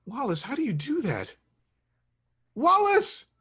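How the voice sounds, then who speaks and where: Comedy table read: male actor as oblivious, lovestruck young slacker, excitable and slangy, very lively pitch. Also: slow, husky, full character voice. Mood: excited.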